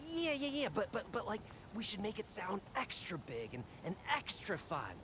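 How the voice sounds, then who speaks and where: A man playing an excitable, fumbling ideas man in a video game, stammering and repeating himself. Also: sits high, normal speed, vocal fry, exaggerated voice. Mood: eager. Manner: stammering, repetitive. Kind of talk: video game background dialogue. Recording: distant game dialogue under loud noise.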